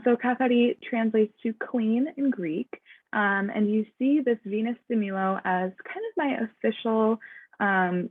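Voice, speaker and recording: A young woman talks through her fashion brand slide by slide, pausing often on "um". Her voice has a gravelly creak. The sound is dry and tinny, like a phone line. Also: medium pitch, no room sound. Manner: frequent "um" fillers, earnest and explanatory. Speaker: student fashion designer presenting her brand. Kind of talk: slide presentation talk.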